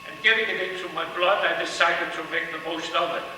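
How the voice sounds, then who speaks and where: Older man from Denmark, fairly high voice, distant mic, noisy hall echo. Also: fairly even intonation. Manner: unhurried, repeats phrases while searching. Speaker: guest speaker at a ceremony. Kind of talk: ceremonial speech to an audience. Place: large hall. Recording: echoey hall from far off, noisy.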